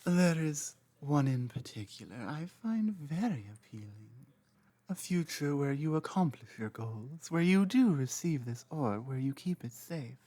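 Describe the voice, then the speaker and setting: An ancient dragon queen, played by a woman, dominant and cryptic, who speaks slowly and lets her mellow voice rise and fall in lively swoops before sinking into soft whispers. The close, dry recording keeps every breath near the ear in this ASMR roleplay. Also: low voice, dry recording. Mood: cryptic, commanding. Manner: slow, cryptic, commanding, drifting into soft whispers.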